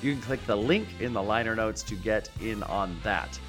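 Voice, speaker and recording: A man's voice: fairly low pitch, normal speed, fairly bright tone, soft background music. A man hosting a podcast in a chatty, theatrical style, gushing and playful as he talks. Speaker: podcast host. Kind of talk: chatty podcast monologue and guest introductions.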